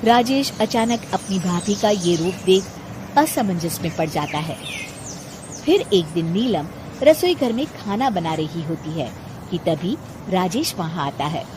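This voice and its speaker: A woman's voice: on the low side, lively intonation, unhurried. A woman narrating a scripted cartoon moral story, with background noise behind her.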